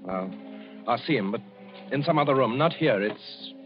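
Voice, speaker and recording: A man's voice: medium pitch, animated, moderate pace, music in the background, close to an everyday voice. Agitated, genteel doctor played by a man. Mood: nervous, evasive. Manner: formal, hesitant, agitated. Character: anxious, genteel male doctor with a guilty conscience. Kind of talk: 1940s radio acting. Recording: dull vintage radio recording.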